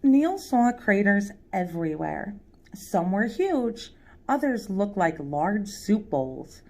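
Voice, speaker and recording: Unhurried woman reading a picture book aloud. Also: mid-range voice, big pitch swings, a little mellow. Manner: pauses to ask young listeners questions. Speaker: storytime reader.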